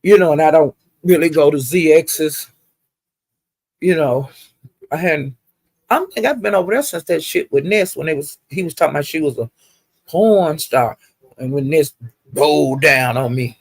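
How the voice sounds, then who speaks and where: A woman's voice: deep voice, moderate pace, pauses often, darkish tone, husky, no echo. An older woman, chatting casually to live viewers as a livestream host in a folksy, rambling, salty-tongued way.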